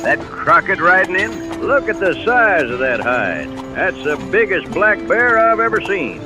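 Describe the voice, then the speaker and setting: Animated, drawn-out man as jovial frontier townsman. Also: sits high, over music. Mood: amused, admiring. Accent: American. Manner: folksy drawling exclamations, teasing. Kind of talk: western audio drama acting.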